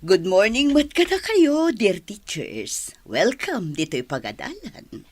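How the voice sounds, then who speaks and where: Motherly public school teacher, welcoming, animated mid-range voice, Filipino accent, radio drama, dry studio sound. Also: mid-range voice, slow pace, no room sound. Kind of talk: Ilocano radio drama acting.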